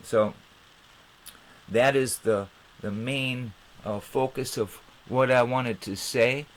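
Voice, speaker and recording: A man hosting an informal explanatory monologue, with halting, rambling phrasing. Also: mid-range voice, steady tone, poor audio. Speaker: channel host.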